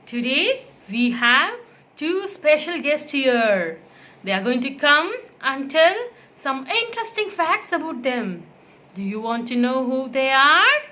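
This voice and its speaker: A woman's voice: high-pitched, big pitch swings, slow, pushed and bright. A woman presenting a children's puppet show in a teacherly, encouraging, sing-song storytelling manner. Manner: sing-song, asks guessing questions, encouraging.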